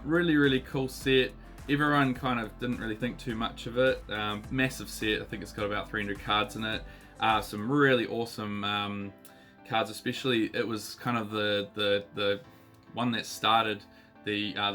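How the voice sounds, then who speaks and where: A New Zealand man in his thirties talks in a lowish but bright, forward voice, still husky from a recent illness. He rambles casually with plenty of ums and uhs, and soft guitar music plays faintly behind him. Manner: chatty, lots of ums and uhs. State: husky, recovering voice. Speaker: card collecting channel host. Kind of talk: casual unboxing chat.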